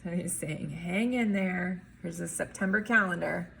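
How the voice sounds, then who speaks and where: A woman reading a storybook aloud in an expressive, emphatic way, with chatty asides as if to young children. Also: lowish voice, unhurried, vocal fry, slight background noise. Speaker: teacher or reader reading a picture book aloud.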